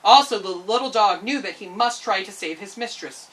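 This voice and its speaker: A woman's voice: medium pitch, expressive, moderate pace, a bit bright, recorded from a distance. A woman narrating a children's storybook expressively as a storyteller.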